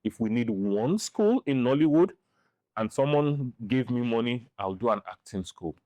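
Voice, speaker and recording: A middle-aged man with a Nigerian accent giving opinions in a podcast interview, speaking deliberately and repeating phrases for emphasis. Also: medium pitch, expressive, mellow, no room sound. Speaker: interview guest, film director and writer.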